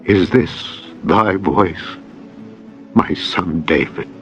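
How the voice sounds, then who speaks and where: An aging king in a scripture drama, grave and full of regret. The voice is low and drawn out, on a dull recording with music. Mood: tearful disbelief. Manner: slow, grave, sorrowful.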